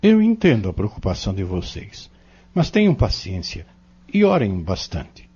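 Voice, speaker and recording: Casting: male actor as calm devout mentor, dark raspy voice, instructive delivery, audio drama. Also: fairly low pitch, normal speed, exaggerated voice. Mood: calm, earnest. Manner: gentle, instructive. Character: patient, fatherly spiritual mentor, calm and devout. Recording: muffled lo-fi audio.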